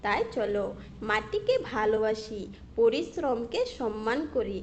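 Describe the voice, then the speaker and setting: A woman narrating a scripted fairy tale in an expressive storytelling manner. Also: fairly high pitch, unhurried, slight background noise.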